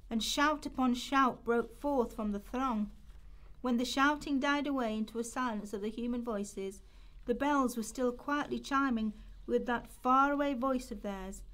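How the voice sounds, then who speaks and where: A woman with a fairly high voice narrates for a radio play. She reads descriptive literary prose from a script, as a storyteller. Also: poor audio.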